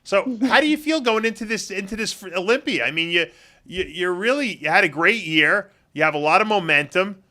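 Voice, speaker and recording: Male host, middle-aged, slightly high, bright forceful voice, teasing and chatty with stumbling restarts. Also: lively intonation, brisk. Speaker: interviewer, show host. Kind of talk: casual interview.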